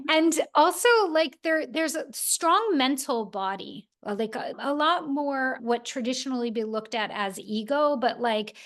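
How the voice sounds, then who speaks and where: A woman with a fairly high voice hosts a podcast conversation. She explains things in an animated, chatty way and keeps the talk moving. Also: a little slow. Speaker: podcast host.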